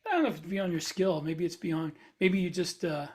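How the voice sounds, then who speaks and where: A man's voice: high-pitched, steady tone, brisk, slightly rough. A man co-hosting a podcast, chatting in a casual, joking, conversational way. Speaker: podcast co-host, fiction author. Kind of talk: casual panel chat.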